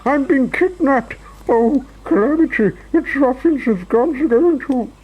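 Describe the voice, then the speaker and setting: A man's voice: extremely high pitch, very animated, moderate pace, lo-fi sound, a little hiss or hum, voice pushed up. A man voicing a tiny, hysterical storybook character who shrieks, stammers and dramatizes every fright. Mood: shrieking panic. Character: tiny, panicky, hysterical male storybook character Mister Jelly.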